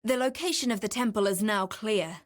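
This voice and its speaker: A woman playing a keen, wry explorer and ritualist in a video game, speaking in a measured, confiding, dryly playful way. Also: medium pitch, lightly acted. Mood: eager. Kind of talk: scripted video game voice acting.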